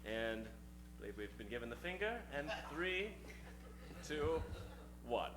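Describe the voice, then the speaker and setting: A man's voice: mid-range voice, expressive, measured pace, over music, poor audio. A man hosting and narrating a live comedy in the showy, theatrical style of a 1940s radio announcer. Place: live stage before an audience.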